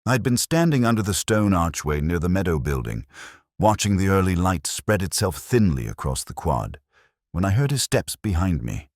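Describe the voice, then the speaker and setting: Male narrator for literary detective fiction: extremely low register, reflective and measured delivery, dry studio-like sound. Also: big pitch swings, darkish tone, no echo. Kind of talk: scripted first-person literary narration.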